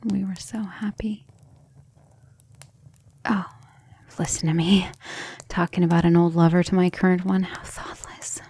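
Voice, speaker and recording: Woman playing a breathy, creaky-voiced tender vampire lady. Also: on the low side, steady tone, a little slow, pauses often, warm tone. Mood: wistful, confiding. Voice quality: breathy, often dropping into whisper. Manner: affectionate, refined, hesitant musing. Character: centuries-old vampire woman, tender, proud, devoted lover. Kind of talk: ASMR roleplay, intimate and slow.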